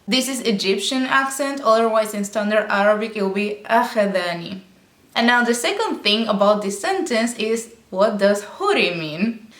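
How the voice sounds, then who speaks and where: A woman's voice: on the high side, roomy sound. A woman teaching Arabic by explaining song lyrics line by line, patient and explanatory, often checking in with "okay?".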